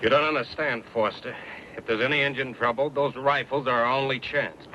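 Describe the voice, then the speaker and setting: A man's voice: mid-range voice, steady tone, fairly quick, bright, forceful tone, slight background noise, natural voice. An actor playing a determined, serious wagon-train man in a western radio drama, speaking earnestly and plainly. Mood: insistent. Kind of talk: old-time western radio drama acting. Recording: dull old radio recording with music.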